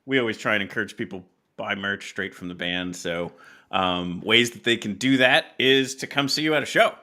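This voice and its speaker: A man hosting a casual music interview, appreciative and somewhat halting as he promotes shows and events. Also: fairly low pitch, pushed and bright. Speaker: podcast host.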